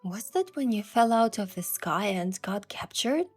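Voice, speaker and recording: A woman's voice: medium pitch, expressive, unhurried, husky. A woman reading scripted first-person romance narration and voicing the heroine. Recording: music behind the voice.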